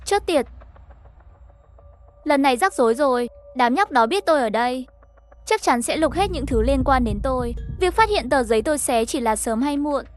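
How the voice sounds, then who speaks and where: A woman's voice: high-pitched, animated, moderate pace, faint music behind. A woman reading a scripted story narration, voicing the dialogue lines herself as the narrator. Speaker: narrator of an animated horror story recap.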